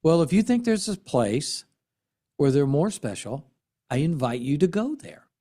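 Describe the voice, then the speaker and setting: A middle-aged man with an American accent, delivering an impassioned commentary monologue in an earnest, emphatic way with dramatic pauses and repetition. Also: on the high side, sing-song, dark tone. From United States. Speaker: political commentary host and military veteran.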